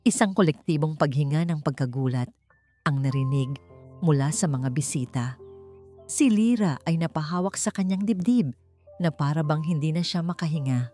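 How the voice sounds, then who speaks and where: A woman with a Filipino accent narrating a scripted story in a measured, dramatic way. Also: low-pitched, warm tone, soft background music.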